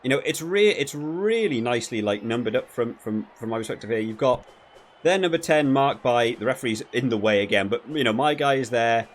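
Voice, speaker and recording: A man giving casual, rambling commentary while playing a video game, often halting and repeating his words. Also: medium pitch, lively intonation. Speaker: video game reviewer and player.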